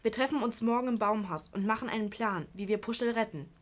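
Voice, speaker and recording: A woman's voice: on the high side, fast talker, close to an everyday voice. A young female performer playing a bossy, resourceful eldest sister in an amateur radio play. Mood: determined.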